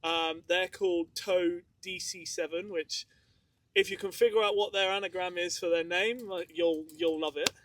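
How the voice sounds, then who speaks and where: A man's voice: high voice, fairly even intonation, normal speed, fairly bright tone, dry recording. A man co-hosting a podcast, chatting casually and rambling with lots of ums. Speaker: podcast co-host. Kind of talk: casual group chat. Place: outdoors in the desert.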